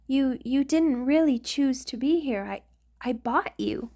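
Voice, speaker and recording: A woman's voice: sits high, a little slow, warm tone. A woman voicing a centuries-old vampire lover in an intimate ASMR roleplay, doting and anxious, with a hesitant, sighing delivery. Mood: guilty, fearful. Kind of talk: ASMR romantic roleplay monologue.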